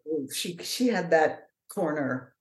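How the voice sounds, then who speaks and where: A woman with a deeper voice, an author and actor talking frankly and with energy as an interview guest. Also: slow pace, roomy sound. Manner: frank, lively storyteller. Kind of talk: casual interview conversation.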